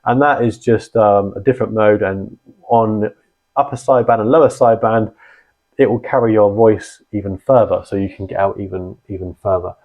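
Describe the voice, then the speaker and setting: A man's voice: on the low side, fairly even intonation, moderate pace, narrow, tinny audio. A man hosting a hobby channel, explaining things casually and informally in a rambling way, repeating points for emphasis. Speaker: host of a radio hobby channel. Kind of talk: casual explainer talk to viewers.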